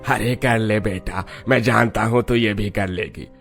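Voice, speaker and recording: A man's voice: lowish voice, fairly even intonation, a little slow, creaky, a bit bright. Man playing a kindly village headman, music behind. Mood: kindly, coaxing. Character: kindly, obliging middle-aged village headman.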